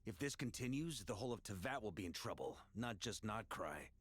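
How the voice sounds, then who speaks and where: A man's voice: fairly low pitch, very animated, a little fast, fairly bright tone. An actor playing an earnest, resolute man with formal speech in a fantasy game. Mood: grave, resolute.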